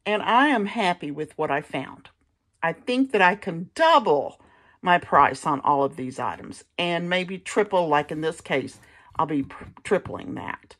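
A woman's voice: fairly low pitch, lively intonation, a little slow, fairly bright tone. A woman host casually showing off her thrift finds, chatty and rambling with lots of fillers and restarts. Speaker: vintage reseller host. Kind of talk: casual haul show-and-tell narration.

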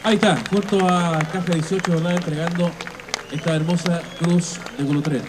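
A man works the stage as a festival emcee, his voice pitched high and pushed through a PA system. He stretches his phrases out for emphasis. A noisy crowd and the hum of the open air sit behind him. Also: steady tone. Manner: emphatic, drawn-out announcer delivery with repeated fillers. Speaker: event MC. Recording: live PA sound with loud crowd.

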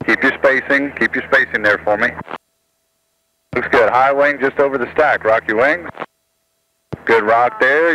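A man's voice: medium pitch, pushed and bright, low-quality recording. A man working as an air traffic controller, giving clipped instructions and short approvals over aviation radio. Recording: aviation radio with slight noise.

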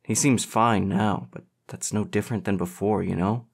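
A man's voice: fairly low pitch, steady tone, normal speed, gravelly, breathy edge. Guy doing a troubled sensitive teen boy in an audiobook, sweet and anxious. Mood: worried. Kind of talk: audiobook character dialogue.

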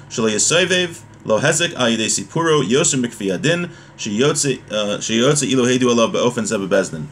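A man's voice: mid-range voice, fairly bright tone, a little hiss or hum. A man in his thirties giving an informal religious lesson, reading from a text and explaining it in a hesitant way. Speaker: religious teacher. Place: small home room, indoors.

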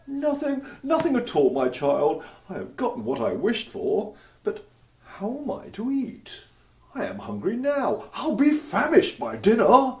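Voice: mid-range voice, wildly swooping pitch, measured pace. Performer doing a wailing, theatrical old king. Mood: anguished. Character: greedy old king, emotional and dramatic. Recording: roomy echo.

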